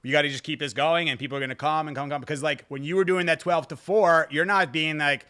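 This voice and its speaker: Male podcast host, slightly high-pitched and forceful, informal, slangy, profane, conversational. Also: fairly even intonation, fast talker. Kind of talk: casual interview chat.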